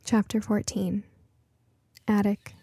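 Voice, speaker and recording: A woman's voice: mid-range voice, flat delivery, slow, warm tone, husky. A woman reading a scripted story as an audiobook narrator.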